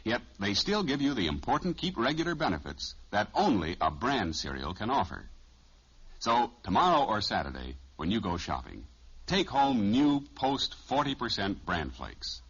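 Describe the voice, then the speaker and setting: An American man delivering a 1950s radio advertising pitch as the commercial announcer. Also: very animated, moderate pace, a bit bright, husky. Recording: muffled vintage radio recording.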